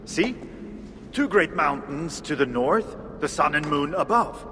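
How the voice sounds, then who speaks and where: An actor voicing a humble dwarf digger in a fantasy game, hesitant and deferential. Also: male voice, fairly high pitch, lively intonation, a little slow. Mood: tentative. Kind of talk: dramatic game cutscene acting. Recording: hall reverb, faint noise.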